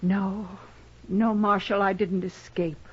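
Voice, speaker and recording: An actress playing a guarded, earnest woman back from long captivity in a 1950s radio drama, speaking formally and haltingly. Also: medium pitch, little pitch movement, slightly rough. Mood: weary, anxious. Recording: dull vintage radio recording.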